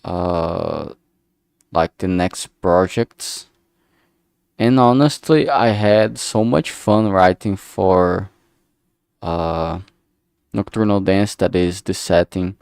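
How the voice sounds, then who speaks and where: A man with a lowish, gravelly voice talks casually to a livestream while he works. He rambles and thinks aloud in halting bits, taking his time. The sound is close and dry. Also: a little mellow, no echo. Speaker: livestreamer making a visual novel. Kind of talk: casual work-along livestream chat.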